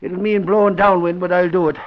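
A man's voice: high-pitched, fairly even intonation, brisk, close to an everyday voice. An actor playing a self-important, bumbling male newspaper reporter in a vintage radio drama. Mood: curious, casual. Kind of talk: 1950s radio drama acting. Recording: dull vintage radio recording.

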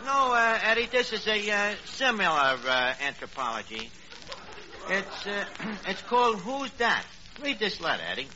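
High-pitched cocky New York tavern manager. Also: male voice, big pitch swings, moderate pace. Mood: self-important. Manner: malapropisms delivered with pompous confidence. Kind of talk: 1940s radio comedy acting. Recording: dull, noisy old radio recording.

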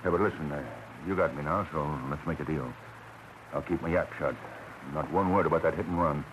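A man's voice: rumbling low, fairly even intonation, a little fast, highs cut off. A man playing a slippery, cornered crook who bargains in a 1940s radio drama. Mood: wheedling. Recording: noisy vintage radio recording.

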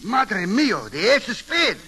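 An actor playing a chatty, excitable immigrant barber with an Italian accent and broken English, in old-time radio comedy style. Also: male voice, sits high, slow, breathy edge, heavily acted. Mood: rueful.

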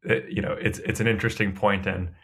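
A man's voice: fairly low pitch, fairly even intonation, fast, fairly bright tone, breathy edge. A man hosting a book interview podcast, talking in a hesitant way with lots of fillers and restarts. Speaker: podcast host.

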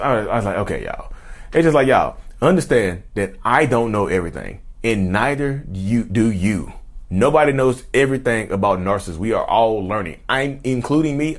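A man talking casually to camera, chatty and rambling, who keeps calling his viewers y'all and wanders off into sidebars. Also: medium pitch. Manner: repeats and restarts, drifts into sidebars. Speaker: YouTuber talking about narcissism. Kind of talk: casual direct-to-camera talk.

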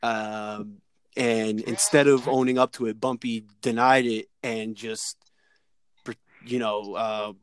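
A man on a remote call chatting as a guest, telling stories in a measured, hesitant way with many fillers. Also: on the low side, fairly even intonation, clean tone. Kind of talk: casual podcast chat.

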